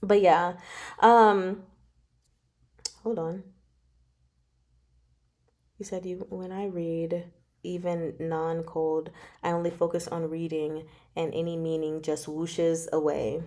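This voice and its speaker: Woman, livestream host, slightly low pitch, casual chat, informal, giggly, answering viewer comments. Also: flat delivery, takes it slowly, pauses often, darkish tone. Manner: giggly, informal, chatty asides. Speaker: livestream host reading chapters aloud and chatting with viewers.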